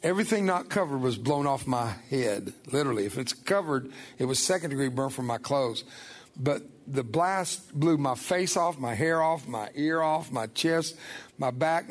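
An older man whose first language was Spanish, giving a folksy, emphatic church testimony as a guest speaker. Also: on the high side.

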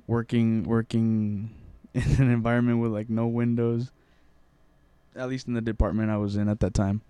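Rambling young man, smooth dry voice. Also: lowish voice, level tone, normal speed, mellow, no echo. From Mexico. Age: young adult. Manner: rambling, many restarts and fillers. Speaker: interviewee. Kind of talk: casual conversational interview.

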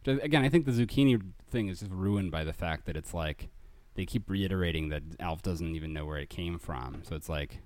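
Deep-voiced man hosting a comedy recap. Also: a little mellow, no echo. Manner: rambling, wry, quick to self-correct. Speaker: podcast host. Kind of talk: casual comedic recap chat.

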